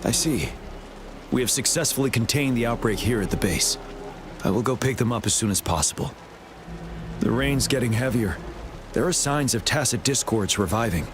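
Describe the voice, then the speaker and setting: An actor voicing a stern, resolute military general in a video game, formal and commanding in manner. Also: male voice, mid-range voice, normal speed, pauses often, noisy background, lightly acted. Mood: composed, determined.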